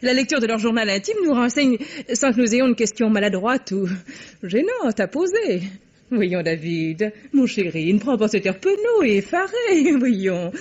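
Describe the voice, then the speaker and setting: A gushing, affected society matron from an old radio play, her voice high and airy and swooping all over the place. She chatters on with a laugh in her words. The recording is dull and lo-fi. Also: sing-song, normal speed. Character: fussy chattering society mother, middle-aged. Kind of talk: 1950s radio drama acting.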